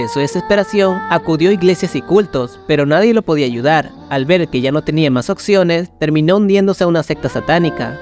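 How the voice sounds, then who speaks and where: A man with a fairly high voice narrates scary stories from a script. He delivers them as dramatic storytelling, with faint music running underneath. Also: a little mellow.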